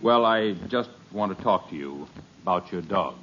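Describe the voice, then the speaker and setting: Fussy, aggrieved neighbor, lively intonation. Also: male voice, sits low, normal speed. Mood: apologetic, aggrieved. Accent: American. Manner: hesitant, stammering complaint. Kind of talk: 1950s radio sitcom acting. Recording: dull old radio recording, faint hiss.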